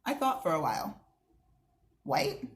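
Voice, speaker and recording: A woman's voice: mid-range voice, animated, moderate pace. A young woman reading a children's book aloud, expressively, with chatty asides to her listeners. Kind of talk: read-aloud narration with casual asides.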